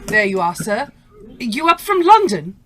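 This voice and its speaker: A woman playing a brisk, folksy English bar attendant in a radio drama, obliging and familiar. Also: fairly high pitch, sing-song, noisy background. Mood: chatty. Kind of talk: old-time radio drama acting.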